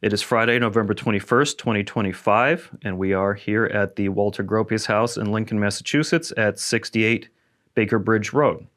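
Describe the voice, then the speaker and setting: A man's voice: fairly low pitch, fairly even intonation, normal speed, fairly bright tone. A man interviewing a guest in a historic house, asking plain, methodical questions.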